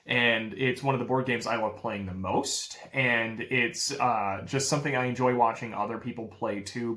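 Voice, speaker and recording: A man's voice: mid-range voice, bright, forceful tone. A young man talking casually to camera in a vlog, upbeat and rambling, with many fillers. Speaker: real estate agent vlogger.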